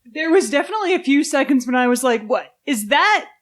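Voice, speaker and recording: A woman with a fairly high voice in casual podcast conversation. Her manner is wry and informal. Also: animated, brisk. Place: in-person table recording.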